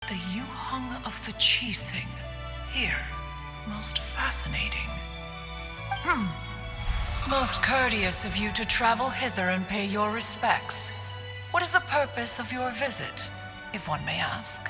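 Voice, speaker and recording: A woman's voice: fairly high pitch, animated, takes it slowly, music in the background. A woman voicing a haughty, ancient crane spirit who speaks archaically and calls herself "one". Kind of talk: game cutscene voice acting. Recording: reverberant hall.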